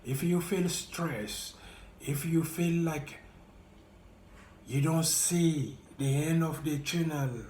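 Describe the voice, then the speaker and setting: Man, French accent, slow and earnest direct address, echoey room with faint noise. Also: on the high side, a little mellow. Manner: slow, earnest, halting and repetitive. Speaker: YouTuber. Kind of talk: heartfelt direct-to-camera talk.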